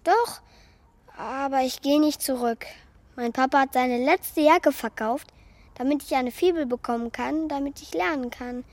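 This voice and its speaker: A woman's voice: sits high, unhurried, no room sound. A woman playing a small, naive living puppet boy in a children's radio play, earnest and plaintive. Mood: sad, ashamed.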